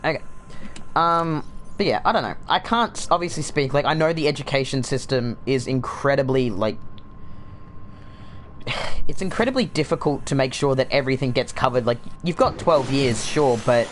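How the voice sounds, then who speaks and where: A man's voice: mid-range voice, animated, normal speed, a little hiss or hum. Rambling young Australian man. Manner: rambling, sardonic, frequent fillers. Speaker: gaming streamer. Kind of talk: casual chat while livestreaming a game.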